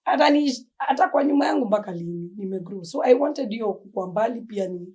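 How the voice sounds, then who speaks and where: A woman's voice: medium pitch, fairly quick, dull audio. A young Kenyan woman with a Kenyan accent, a podcast guest in casual chat, easily mixing slang and English. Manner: relaxed, mixes Swahili slang and English.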